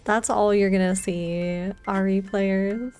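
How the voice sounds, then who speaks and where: A woman game streamer with a mid-pitched voice and a very slow pace. She chats casually over gameplay, rambling a little and thinking aloud as she goes. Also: fairly even intonation, a little mellow, clean tone.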